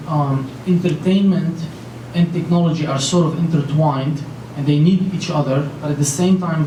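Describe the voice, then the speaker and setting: A man from Lebanon talks on a panel, earnest and rambling, with lots of fillers and restarts. His voice sits a little high but sounds mellow. He is picked up from some distance in a roomy, echoing hall with a faint hiss behind him. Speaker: panelist, company founder. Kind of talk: panel talk. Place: large conference room with an audience. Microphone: handheld mic. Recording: echoey room from far off, faint noise.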